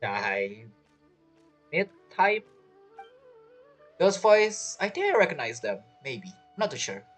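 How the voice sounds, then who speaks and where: A man's voice: on the high side, big pitch swings, takes it slowly, fairly bright tone, soft background music. A young man doing casual, joking playthrough commentary, reacting aloud to a video game. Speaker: gaming streamer.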